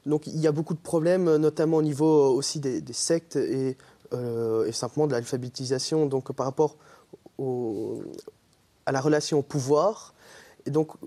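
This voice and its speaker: A man's voice: mid-range voice, steady tone, normal speed, dark tone. A young man with a Belgian French accent, earnestly explaining as a guest in a TV interview. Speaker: student group member, guest. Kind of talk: TV interview answers.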